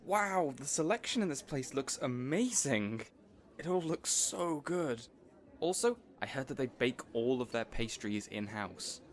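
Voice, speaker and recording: A man acts out an eager supervillain boss in an audio roleplay. He sits at a middle male pitch and talks in a chatty, slightly awkward and earnest way. He is fond of his assistant and bosses them about playfully. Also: lively intonation, unhurried, natural voice. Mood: cheerful, eager. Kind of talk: audio roleplay acting addressed to listener.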